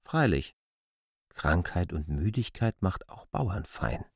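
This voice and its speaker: A man's voice: deep voice, very animated, takes it slowly, creaky, slightly rough, dry recording, clean studio sound. A man narrating a literary classic as a German radio drama.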